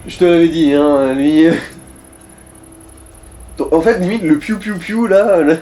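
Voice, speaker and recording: Slangy man with a mellow voice. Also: a little high, takes it slowly, faint music behind, low-quality recording. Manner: slangy, mildly crude. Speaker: co-commentator advising the player. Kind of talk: casual gaming chat.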